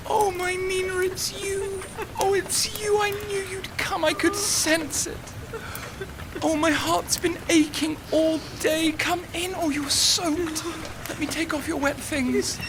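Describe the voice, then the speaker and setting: A man's voice: extremely high pitch, noisy background, full character voice. A man acting an anguished, self-doubting young writer in an audio drama, lovesick, volatile and intensely emotional. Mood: tearful tenderness. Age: young adult.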